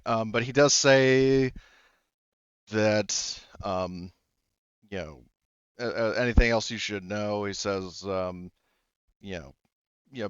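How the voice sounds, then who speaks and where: A man's voice: lowish voice, smooth, no echo, dull audio. A man running a tabletop roleplaying game as game master, narrating in a rambling, hesitant way that often backtracks.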